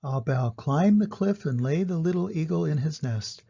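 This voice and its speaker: Older male storyteller for kids' picture books, creaky, mellow tone, slightly dull recording. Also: a little high, lively intonation, moderate pace. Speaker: storyteller reading to children. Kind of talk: scripted children's storybook narration.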